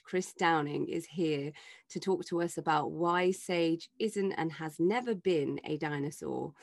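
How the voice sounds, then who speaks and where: A woman's voice: sits low, no room sound. Chatty woman podcast host, dry sound. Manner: upbeat, chatty, reads show notes smoothly. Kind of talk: podcast hosting, casual banter.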